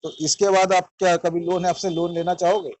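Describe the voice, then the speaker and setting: A man's voice: high-pitched, level tone, a little fast, a little mellow, slight background noise. An Indian man hosting a phone interview, questioning and instructing a caller in a probing way, mixing in English words. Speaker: interviewer and host of a channel.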